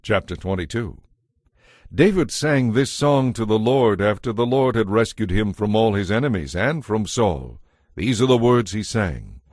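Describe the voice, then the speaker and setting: A man's voice: fairly low pitch, lively intonation, unhurried, a little mellow, no room sound, studio quality. A man narrating a dramatized audio Bible from a script.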